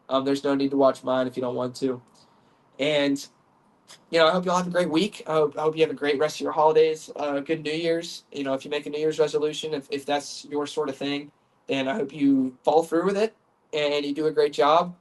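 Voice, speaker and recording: A man hosting a sports podcast, congested from the flu, talking through football film in an earnest, chatty way that stumbles and repeats words. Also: on the high side. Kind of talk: casual football film breakdown.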